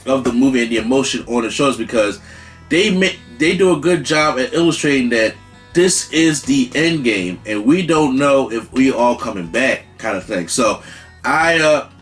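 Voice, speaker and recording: A man hosting his own channel, giving an excited spoken movie review with lots of restarts and emphatic repetition. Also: medium pitch, moderate pace, faint music behind.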